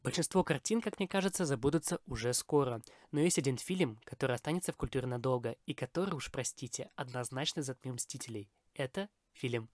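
A man's voice: mid-range voice, brisk, few pauses, no room sound, clean studio sound. A man hosting a podcast, reading a scripted, opinionated film review in formal phrasing.

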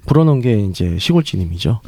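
Lively-voiced male podcast host. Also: lowish voice, dry recording. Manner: reflective, drawn-out talk with many fillers. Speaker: podcast host and script writer. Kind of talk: casual chat.

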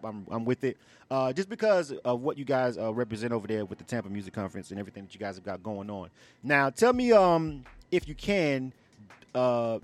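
Guy hosting radio interview, talks fast n slangy, keeps checking you follow, bit of music under. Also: mid-range voice. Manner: rambling, colloquial, repeatedly checking listener follows. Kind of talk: casual conversational radio interview.

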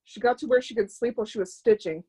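A woman's voice: mid-range voice, quick pace, mellow, slightly rough, natural voice. An actress playing a blunt, world-weary woman in a play reading, with a dry, clipped, colloquial delivery. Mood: matter-of-fact.

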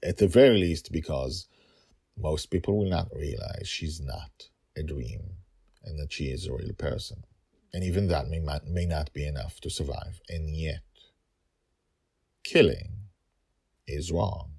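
A middle-aged man narrating a story podcast as its host and author, deliberate, with dramatic pauses and emphatic repetition. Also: extremely low pitch, vocal fry, darkish tone, slightly rough.

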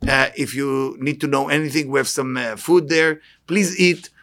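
A man's voice: mid-range voice, fairly even intonation, moderate pace, fairly bright tone. A man with an Israeli accent giving an inspirational talk as a spiritual teacher, emphatic and repeating short phrases. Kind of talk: inspirational talk with anecdotes to a live audience.

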